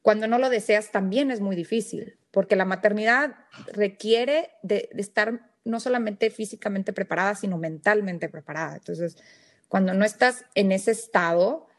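Woman, 30s, northern Mexican accent, podcast guest, candid, earnest, middle pitch. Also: moderate pace. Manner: candid, earnest, with fillers and restarts. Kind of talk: personal storytelling in interview.

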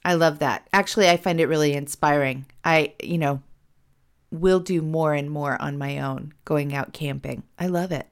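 A middle-aged woman hosts a casual podcast, talking in an animated, self-deprecating way. Her voice sits fairly low and sounds a bit hoarse from a cold. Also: normal speed. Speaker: podcast host.

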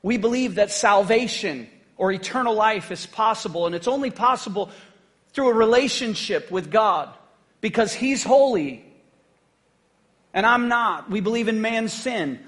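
Male pastor, high-pitched, chatty joking sermon delivery. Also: animated, a little slow, a bit bright. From United States. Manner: conversational, joking, asks the congregation "right?".

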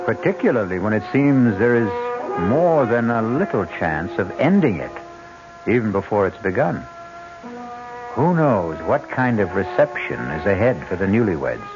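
Deep, animated, ominous male radio host. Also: moderate pace. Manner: ominous, theatrical, wry. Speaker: mystery series host and narrator. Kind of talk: dramatic scripted narration, 1970s radio. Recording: dull old radio broadcast recording. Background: music.

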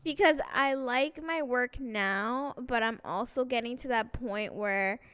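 A woman's voice: on the high side, a little slow, fairly bright tone, smooth. A woman in her twenties co-hosting a casual chat podcast, laid-back and rambling with many fillers. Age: 20s. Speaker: podcast co-host.

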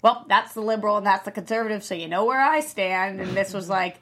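A woman actor joining a casual panel discussion, animated and acting out her own thoughts. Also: medium pitch, fast. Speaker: actor in a table read.

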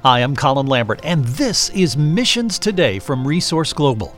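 A man's voice: fairly high pitch, sing-song, moderate pace, a bit bright, soft background music. A man hosting a faith interview podcast, earnest and reflective, drawing out key phrases. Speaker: host.

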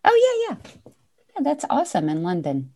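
A middle-aged woman hosting a podcast interview, eager and chatty with quick interjections. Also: fairly high pitch, huge pitch swings, dark tone. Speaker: podcast host, modeling scout.

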